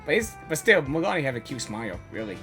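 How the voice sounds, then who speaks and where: Casting: male gaming reactor, bright forceful voice, very lively pitch, casual commentary over faint music. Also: quick pace. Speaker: gamer reacting to a story quest.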